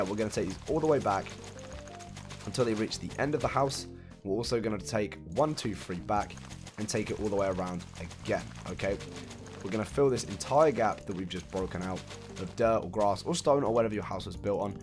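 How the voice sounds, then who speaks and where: Man, hoarse raspy voice, somewhat low-pitched, casual tutorial narration, counts out blocks, faint music behind. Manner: chatty, counts blocks aloud, laughs off his own mistakes. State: hoarse, croaky after a cold. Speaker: video game building tutorial host. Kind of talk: casual step-by-step gameplay walkthrough. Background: faint background music.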